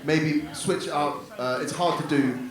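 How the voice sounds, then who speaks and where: Comedy night host guy, rambly and sweary, slightly high voice, big echo, crowd noise. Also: fairly even intonation, unhurried. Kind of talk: compering and giving feedback. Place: comedy club stage. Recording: very echoey venue with crowd behind.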